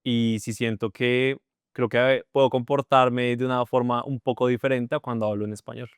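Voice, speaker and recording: A man hosting a podcast interview, asking measured, curious questions. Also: medium pitch, flat delivery, clear, resonant tone, no room sound, studio quality.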